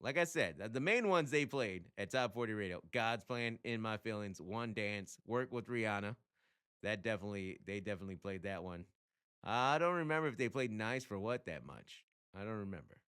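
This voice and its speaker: Bright-voiced man in casual, animated commentary. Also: fairly low pitch, a little fast, clear, resonant tone. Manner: animated, chuckling, slangy. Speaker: radio programmer and music commentator. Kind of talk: casual solo commentary on music industry.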